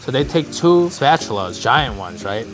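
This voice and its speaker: A male chef whose first language is Cantonese, explaining his methods in a relaxed, plain way while cooking in a kitchen. His pitch sits at a middle level. Clear music plays under his voice. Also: moderate pace. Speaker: chef guest. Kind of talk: casual cooking chat. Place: home-style kitchen, indoors.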